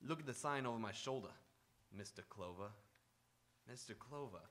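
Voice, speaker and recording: A folksy, evasive hotel desk clerk as a man plays him in an old-time radio play. He has a bright, medium-pitched voice and rambles through drawn-out, hesitant answers. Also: lively intonation, close to an everyday voice.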